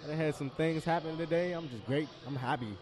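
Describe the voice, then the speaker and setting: A man's voice: on the high side, a little fast, a little mellow. A man chatting casually as a radio show guest, laid-back and slangy, swearing freely. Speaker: guest comedian. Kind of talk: casual chat.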